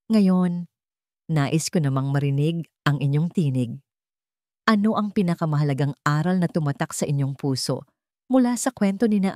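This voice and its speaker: A woman giving an earnest, sentimental, measured scripted narration of a love story, as its narrator and host. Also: fairly low pitch, warm tone, no echo, clean studio sound.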